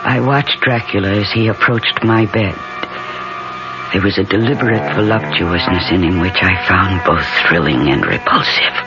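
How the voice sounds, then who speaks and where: A woman's voice: a bass voice, normal speed. An actress playing an anxious, devoted young woman, the heroine and narrator of an old-time radio horror drama, theatrical and intense. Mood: haunted, intense. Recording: dull vintage radio, loud music behind.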